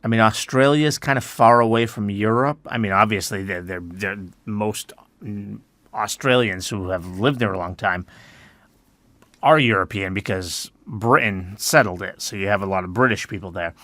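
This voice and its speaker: A man from the United States hosting an educational podcast, explaining things in a relaxed way with many "I mean"s and restarts. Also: lowish voice, moderate pace, no echo. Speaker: podcast host.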